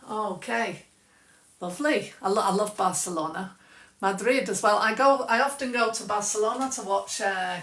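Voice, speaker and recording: Female English teacher, softened Mancunian accent, chatty live-stream delivery, set back from the mic in a roomy space. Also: mid-range voice, recorded from a distance. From England. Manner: chatty, self-correcting, restarts often. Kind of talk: live-streamed language lesson, chatting with viewers.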